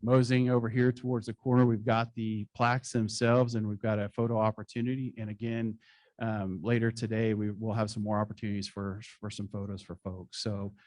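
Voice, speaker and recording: A man presenting awards at a conference, reading citations in a formal, measured way with occasional slips. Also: fairly low pitch, flat delivery, a little mellow, no echo. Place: conference hall stage.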